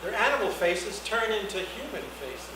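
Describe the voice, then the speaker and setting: A man's voice: high voice, expressive, moderate pace. A man reading screenplay action lines aloud as the narrator at a scene reading. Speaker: narrator of stage directions. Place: indoor reading before an audience, far mic. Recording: very echoey room, distant mic, noisy.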